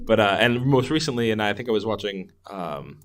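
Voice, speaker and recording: A man's voice: lowish voice, animated, brisk. A man co-hosting a podcast, reviewing a film in a casual, enthusiastic, rambling way and laughing readily. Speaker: podcast co-host.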